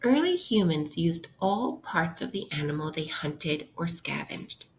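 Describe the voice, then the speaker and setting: Woman, very slow pace, lowish pitch, elementary read-aloud, simple instructional delivery, faint background noise. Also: sing-song. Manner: instructional, explains simply with questions to listeners. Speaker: elementary school teacher. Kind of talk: interactive read-aloud lesson for children.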